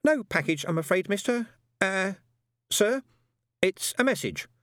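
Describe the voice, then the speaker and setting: A jittery young delivery man fumbles for polite words in a thin, high voice. It swings up and down as he frets, with a dry, close sound. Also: big pitch swings, unhurried, a bit bright, dry recording, heavily acted. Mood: nervous. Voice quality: flustered, stammering.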